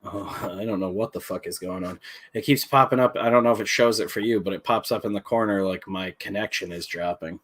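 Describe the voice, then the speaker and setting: A man with a somewhat low voice, chatting on a livestream over a video call. He talks loosely and bluntly, with plenty of swearing. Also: fairly quick, fairly bright tone. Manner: profane, blunt. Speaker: podcast co-host. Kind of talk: casual chat on a livestream.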